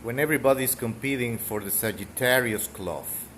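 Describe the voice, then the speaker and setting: A man's voice: mid-range voice, normal speed, fairly bright tone, a little hiss or hum. A man from Mexico with a thick Mexican accent, rambling through casual game commentary with fillers and the odd cough. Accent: Mexican Spanish. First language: Spanish. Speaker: gaming streamer.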